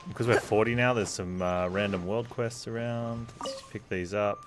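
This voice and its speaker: A man's voice: fairly low pitch, fairly even intonation, moderate pace. A man giving casual live gameplay commentary, thinking aloud in an offhand way as he streams. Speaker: video game streamer. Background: game music.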